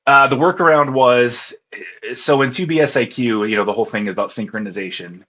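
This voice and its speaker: A man with a husky voice, speaking casually as an interview guest and recounting his experiences. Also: medium pitch, a little fast, pushed and bright, highs cut off. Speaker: interview guest, ham radio contest operator.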